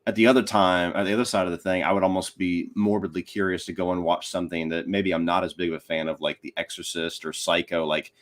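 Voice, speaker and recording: A man's voice: deep voice, steady tone, fast, hardly any pauses. A man speaking as a podcast guest, giving his opinions on films in a casual, conversational way. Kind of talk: casual film discussion.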